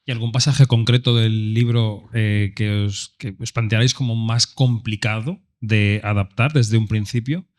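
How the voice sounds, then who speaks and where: A man's voice: fairly low pitch, steady tone, measured pace, few pauses. A man with an accent from Spain moderating a presentation, posing questions and introducing segments. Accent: Spanish (Spain). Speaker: event moderator. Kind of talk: presenting and asking questions at an event.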